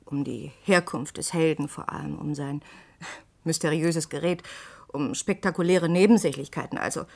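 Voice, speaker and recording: An earnest woman in a conversational radio play. Her voice is on the low side with a lot of creak. She speaks reflectively and hesitantly, gently pressing her point. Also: normal speed. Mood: thoughtful, hesitant. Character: Hanna, earnest thoughtful woman from the story, gently insistent.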